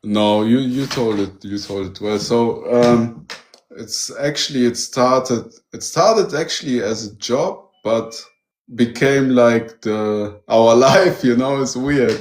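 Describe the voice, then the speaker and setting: A man's voice: mid-range voice, takes it slowly, slight background noise. A man from Germany, a guest who runs a metal detector shop, talking casually and eagerly and often saying "you know". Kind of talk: casual interview chat. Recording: roomy echo.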